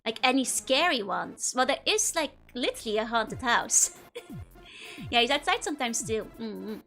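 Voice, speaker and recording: A woman's voice: fairly high pitch, very animated, normal speed, sharp tone, faint music behind, poor audio. A woman with a Dutch accent, streaming and chatting casually with viewers, giggling often and rambling with lots of fillers. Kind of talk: casual chat to live viewers.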